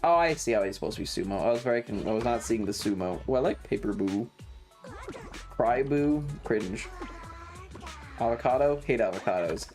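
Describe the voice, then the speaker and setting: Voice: mid-range voice, lively intonation, moderate pace, darkish tone, faint music behind. A streamer chatting casually while gaming, with foul-mouthed slang and playful exclamations. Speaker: variety streamer. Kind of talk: casual gaming livestream chat.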